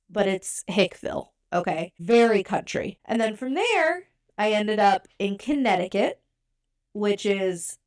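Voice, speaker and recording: A woman co-hosting a podcast, born in Europe and raised in the United States, talking in a chatty, candid, self-deprecating way. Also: mid-range voice. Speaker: podcast co-host. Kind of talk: casual podcast talk.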